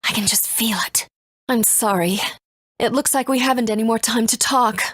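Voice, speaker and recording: An actress voicing a gentle, solemn mother in a video game, speaking in a measured, earnest way. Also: fairly high pitch, no echo, clean studio sound. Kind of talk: scripted game exposition.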